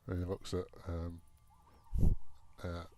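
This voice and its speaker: Male player, drawling and hesitant, warm dark tone. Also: sits low, completely flat delivery, slow. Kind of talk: playing a tabletop game.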